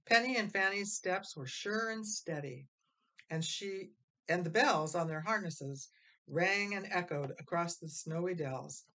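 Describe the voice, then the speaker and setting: A woman reading a children's story aloud as expressive scripted narration. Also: lowish voice, measured pace. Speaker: storybook reader.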